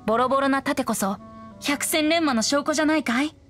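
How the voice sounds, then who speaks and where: A woman playing a youthful male wandering bard in a game, breezy and gently teasing. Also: sits high, brisk, soft background music. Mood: earnest persuasion. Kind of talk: Japanese game character acting.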